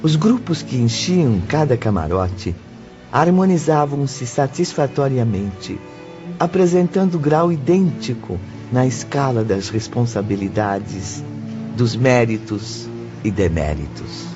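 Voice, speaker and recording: A man acting as the measured, formal narrator of a spiritist audio drama. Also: medium pitch, lively intonation. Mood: solemn. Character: solemn male narrator of a spiritist audio novel. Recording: dull lo-fi audio with music behind. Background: clear background music.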